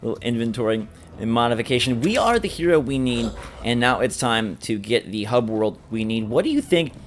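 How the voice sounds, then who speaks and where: A man's voice: medium pitch, expressive, normal speed. Man doing gameplay commentary over game noise. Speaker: gameplay video host. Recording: game sound loud behind voice. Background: video game action noise.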